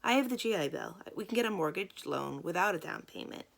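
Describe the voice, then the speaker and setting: A woman voicing a proud, steady father in a children's novel, with a firm, measured manner. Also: lowish voice, gravelly. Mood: pleased, confident.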